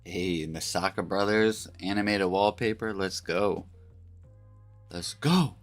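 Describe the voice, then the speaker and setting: A laid-back man with a very low, congested voice hosts a live stream and greets chat with joking ease. A cold leaves his voice stuffy and a little raspy, with faint music playing behind him. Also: slow pace. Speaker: stream co-host.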